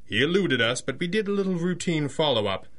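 A man's voice: fairly high pitch, big pitch swings, a bit bright. A man playing a reserved, formally spoken crime-fighting boss in a radio superhero drama. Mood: earnest. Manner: formal, measured. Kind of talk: 1930s-set radio superhero drama acting.

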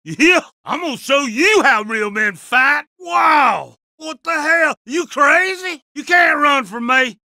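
Game barks: hot-headed Southern tough guy, very high pitch, dry recording. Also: expressive, slow, hardly any pauses, dry recording, exaggerated voice. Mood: belligerent. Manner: loud, profane, belligerent. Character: brash aggressive country tough guy.

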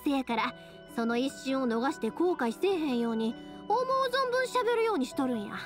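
A cheerful young woman from an anime video game with a high voice and a Kansai accent. She is talkative, friendly and animated, over soft background music. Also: normal speed. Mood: enthusiastic. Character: cheerful chatty young woman, fireworks maker.

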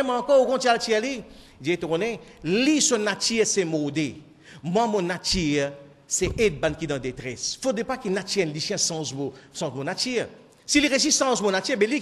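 High-pitched Mauritian preacher in a reverberant hall. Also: male voice, a bit bright. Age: middle-aged. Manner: emphatic, repeating key phrases. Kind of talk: sermon. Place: indoor mosque hall. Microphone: microphone on a stand. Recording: echoey hall with long reverb.

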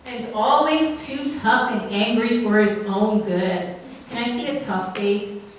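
A young woman telling a story to children at church in a slow, gentle way, asking them questions as she goes. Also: fairly high pitch, slight background noise. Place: church sanctuary, at the front. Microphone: far room camera. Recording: very echoey church from far off.

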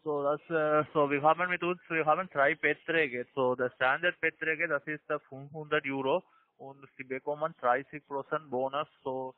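A man with a foreign accent giving a halting telemarketing pitch in broken grammar. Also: fairly even intonation, dry recording. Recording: tinny narrow phone line.

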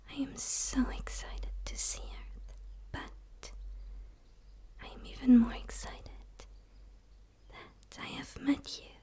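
An eager, curious female alien, acted by a woman whispers softly in an ASMR roleplay. Her voice sits high and stays very even in pitch. She is soothing and breathy, right up close to the mic. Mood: fascinated, reassuring. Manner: soft, slow, soothing, breathy delivery. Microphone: close microphone, speaking straight to camera.

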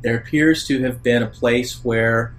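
A man working as a game master, improvising narration for a tabletop game in a halting, deliberate way. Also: steady tone. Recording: rumbly background noise.

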